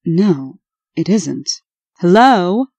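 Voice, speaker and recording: A woman's voice: on the low side, very animated, a little mellow, breathy edge, no echo, exaggerated voice. A woman voicing a stern, authoritative administrator in a comic dub. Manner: brisk, authoritative.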